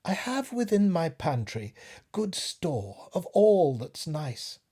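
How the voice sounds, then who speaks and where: Man acting cunning, coaxing spider, slow and oily. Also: fairly high pitch, big pitch swings, warm tone, slightly rough, voice pushed up, exaggerated voice. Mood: flattering. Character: cunning, flattering male spider luring its prey.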